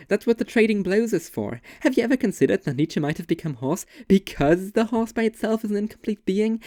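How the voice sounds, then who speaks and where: A performer playing a young woman in a scripted literary debate about ideas, speaking in an articulate, opinionated and deliberate way with a sardonic edge. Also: medium pitch, a little mellow, no echo, clean studio sound, natural voice. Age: young adult. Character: two young women debating art, intense and sardonic. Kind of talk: scripted literary dialogue, argumentative monologue delivery.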